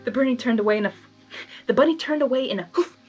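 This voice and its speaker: A woman reading fan fiction aloud as host, narrating and breaking into casual, expressive reactions. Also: sits high, rapid-fire, husky, faint music behind. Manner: expressive, animated asides.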